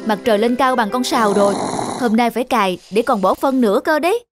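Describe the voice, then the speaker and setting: A woman acts out a young village wife in a folk-tale cartoon. She is a hard-working nag who urges and scolds, with music clearly playing behind her. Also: a little high, quick pace, close to an everyday voice. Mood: exasperated. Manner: scolding, urging. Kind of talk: animated folk-tale acting.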